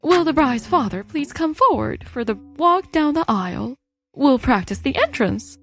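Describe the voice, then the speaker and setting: A woman's voice: high-pitched, very animated, normal speed, fairly bright tone, heavily acted. A woman playing a polite, formal wedding attendant making announcements in a manga story dub. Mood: polite, professional. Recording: music under the voice.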